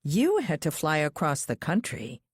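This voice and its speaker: A stubborn, loyal small-town man in a thriller audiobook, played by a woman narrator. He is gruff and folksy, with plain-spoken delivery. The sound is low and dry. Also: huge pitch swings, a little slow, no echo, heavily acted. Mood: gruff teasing.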